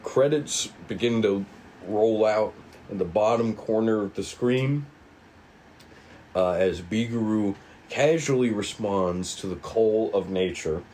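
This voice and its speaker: A man reading screen directions aloud at a table read, with measured, dramatic storytelling and casual asides. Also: lowish voice, mellow, a little hiss or hum, poor audio. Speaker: writer and narrator of a table read. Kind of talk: scripted narration of screen directions.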